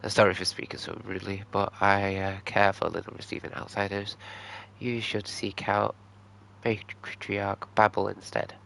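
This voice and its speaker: A man playing a gruff, unwelcoming desert villager in a video game, speaking in a halting mutter. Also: on the low side, monotone, a bit bright, slight background noise, poor audio. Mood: curt, dismissive. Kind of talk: reading game dialogue in character.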